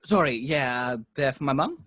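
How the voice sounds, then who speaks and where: A weary young salesman, acted by a man at a casual table read, sheepish and easily put on the defensive. He speaks haltingly, with a wide-moving pitch in a dry sound. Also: expressive, bright, forceful tone, no echo. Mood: awkward, curt. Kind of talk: informal at-home script table read.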